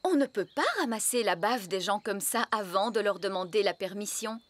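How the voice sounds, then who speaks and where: A woman's voice: medium pitch, moderate pace, slight background noise, close to an everyday voice. A woman voicing a proper, instructive adult fairy in a cartoon. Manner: polite, explanatory.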